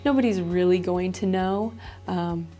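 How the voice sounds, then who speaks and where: A middle-aged woman, a high school principal, talking casually in an interview. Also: measured pace, mellow, faint music behind.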